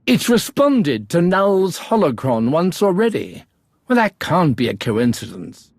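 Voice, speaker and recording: Game archaeologist: bookish, precise, husky and unhurried, full of wonder. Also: male voice, fairly high pitch, very animated, dry recording, studio quality, full character voice. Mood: eager, musing. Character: fussy, scholarly male archaeologist full of wonder. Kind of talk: video game voice acting.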